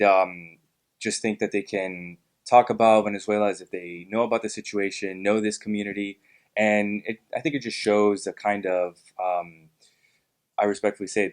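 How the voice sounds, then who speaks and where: A young man from Miami answering interview questions in a measured way, with frequent ums and uhs. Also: lowish voice. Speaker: guest, political club officer.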